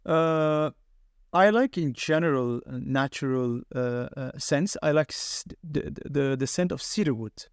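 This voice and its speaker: A man's voice: mid-range voice, unhurried, smooth, dry recording. Hesitant man podcast host, dry. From Lebanon. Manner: hesitant, with many fillers. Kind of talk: casual interview chat.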